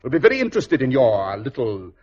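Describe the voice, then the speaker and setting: An actor playing a shrewd, stern gentleman detective in a precise, theatrical 1940s radio style. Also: medium pitch, huge pitch swings, brisk. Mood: stern, dry. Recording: dull, husky old radio recording.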